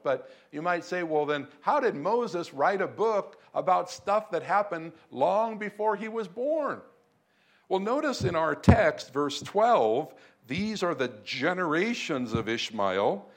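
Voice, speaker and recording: A male pastor delivering a sermon in a slow, deliberate cadence. His voice sits a little higher than most men's, and it rises with emphasis on key points. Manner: slow, measured preaching with emphatic lifts. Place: church room in Beijing.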